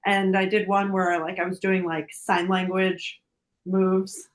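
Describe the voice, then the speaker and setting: A woman's voice: medium pitch, flat delivery, a little slow. A woman in her forties with a mid-range voice, talking as a guest in a casual podcast chat. She is giggly and tends to laugh through her own sentences. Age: 40s. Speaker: podcast guest, dancer and influencer.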